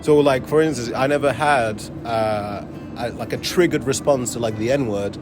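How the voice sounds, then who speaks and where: A man's voice: medium pitch, moderate pace, over music. Laid-back man with a London accent. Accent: London English. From France, raised in Portugal and London. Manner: loose, laughing, many fillers and restarts. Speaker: guest comedian. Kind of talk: casual interview chat.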